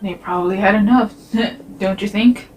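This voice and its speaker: A woman voicing an easygoing, sociable young man of the desert in a fantasy game fan dub, relaxed and conversational. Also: mid-range voice, normal speed, a crowd in the background, natural voice, rough recording.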